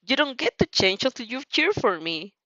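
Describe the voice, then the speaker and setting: A woman's voice: fairly high pitch, big pitch swings, moderate pace, pushed and bright, dry recording, muffled recording, pitched above the performer's own voice, heavily acted. A woman voicing a teasing, playful teenage boy with a drawling manner in an anime fan story.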